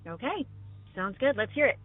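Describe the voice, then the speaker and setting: A woman's voice: a little high, expressive, normal speed. A woman playing a casual listener in a scripted chat who is asking for a scary story. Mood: casual, agreeable. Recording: thin phone-like sound over music.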